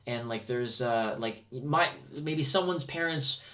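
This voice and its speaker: An American man chatting casually and telling personal anecdotes, halting and circling back over his words. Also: medium pitch, a crowd in the background. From Milwaukee, United States. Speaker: podcast host. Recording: noticeable background noise.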